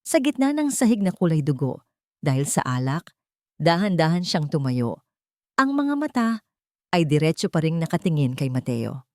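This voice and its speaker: Lowish-voiced, expressive woman storyteller. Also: moderate pace, pauses often, no echo, studio quality. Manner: solemn, melodramatic. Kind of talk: dramatic scripted story narration.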